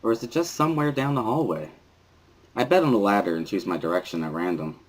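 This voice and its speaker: A man's voice: fairly low pitch, lively intonation, fast talker. A man giving a dramatic first-person reading of a visual novel as its narrator.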